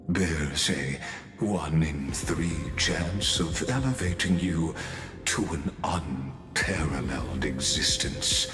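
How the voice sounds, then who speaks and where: Game villain-type: calculating cosmic wanderer, bottomless low pitch, extremely unhurried, grandiloquent, echoing space. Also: male voice, full character voice. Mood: solemn. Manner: formal, measured, grandiloquent, deliberate pauses. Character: enigmatic, calculating male cosmic wanderer offering sinister bargains. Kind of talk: scripted video game character dialogue. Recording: echoey, with music underneath. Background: background music.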